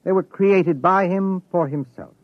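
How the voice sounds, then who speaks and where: An actor playing a humane, reluctant spaceship doctor in a 1950s radio drama, speaking soberly and haltingly. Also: male voice, high-pitched, no room sound. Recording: tinny vintage radio, narrow band.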